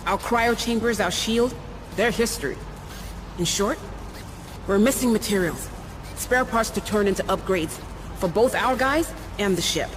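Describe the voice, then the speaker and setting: An actress playing a gruff, practical, overworked chief engineer in video game dialogue, blunt and plain-spoken. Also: female voice, mid-range voice, a little slow, long pauses, lightly acted. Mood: weary, determined. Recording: clear ship ambience noise behind.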